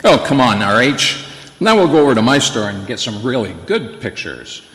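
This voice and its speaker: A man's voice: mid-range voice, big pitch swings, moderate pace, fairly bright tone. A man playing a brash, goading rival store owner in an old-time radio play, hearty and teasing. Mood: jovial. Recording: echoey, reverberant hall.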